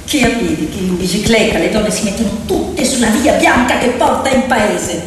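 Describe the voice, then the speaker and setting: A woman narrating a story theatrically, in short measured phrases that often repeat. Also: medium pitch, slight background noise. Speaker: stage storyteller and actress. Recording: very echoey hall, distant, loud music behind.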